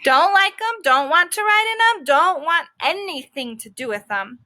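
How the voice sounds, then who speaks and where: A woman's voice: extremely high pitch, expressive, normal speed, pushed and bright, voice pushed up, heavily acted. A woman voicing a cocky, stubborn stray dog who tells his story in sassy, colloquial children's storybook style. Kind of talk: children's book read-aloud.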